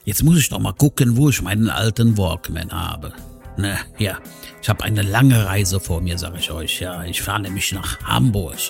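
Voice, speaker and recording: Casting: man acting as chatty grumbling electrician, rambling comic monologue, creaky low voice, music underneath. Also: moderate pace. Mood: grumbling, musing. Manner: rambling, self-talking, with tag questions. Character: chatty male electrician grumbling about a long trip.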